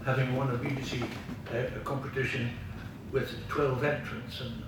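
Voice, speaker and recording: A man's voice: mid-range voice, little pitch movement, normal speed. Older Scottish man, very echoey room. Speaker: veteran actor giving vote of thanks. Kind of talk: after-dinner speech with anecdotes. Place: large room at a club luncheon. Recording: very echoey room from far off, noisy.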